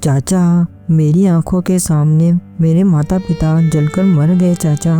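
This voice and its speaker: Cartoon lead: humble earnest village man played by a woman, low and mellow, plaintive, soft music behind. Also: fairly even intonation, slow. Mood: distressed. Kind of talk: Hindi animated moral story dialogue.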